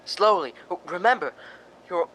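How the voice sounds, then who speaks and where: A man's voice: sits high, animated, normal speed, sharp tone, heavily acted, poor audio. An actor playing a fussy film director in a radio drama, coaxing his leading lady with theatrical short commands. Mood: businesslike.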